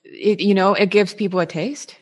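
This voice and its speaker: A woman's voice: medium pitch, fairly even intonation, moderate pace, a little mellow. A woman with a mid-range voice conducts a casual interview. She builds her questions gradually, with somewhat tentative phrasing.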